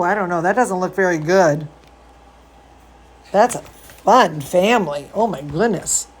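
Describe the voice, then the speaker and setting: A woman reading a picture book aloud to young children, gushing and expressive, with chatty asides to her listeners. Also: on the high side. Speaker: dance teacher hosting a children's story time.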